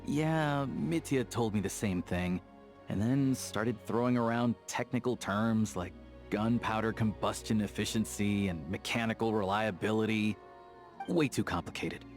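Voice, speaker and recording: Man voicing a low-voiced, easygoing young frontier hunter. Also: a little slow, close to an everyday voice. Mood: wry, matter-of-fact. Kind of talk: video game character dialogue. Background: soft background music.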